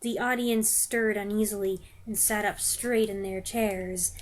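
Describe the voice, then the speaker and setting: A woman giving an expressive dramatic reading of a children's book, with joking asides. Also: medium pitch, a little slow, rough recording. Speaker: reader.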